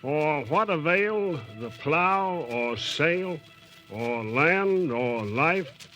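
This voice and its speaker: An American man giving a formal, measured scripted introduction on 1940s radio. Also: on the high side, expressive, loud music behind the voice. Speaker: radio program chairman and presenter. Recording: muffled 1940s radio broadcast.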